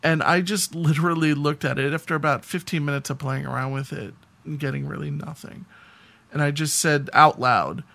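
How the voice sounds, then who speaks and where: A man's voice: fairly high pitch, gravelly, bright, forceful tone. A man co-hosting a podcast, telling anecdotes in a casual, rambling conversational way. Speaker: podcast co-host.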